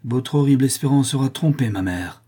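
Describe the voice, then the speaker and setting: A man's voice: fairly low pitch, moderate pace, warm tone, breathy edge. A man voicing the anguished, honest son of a criminal mother, pleading and indignant, in a dramatic story reading. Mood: firm indignation.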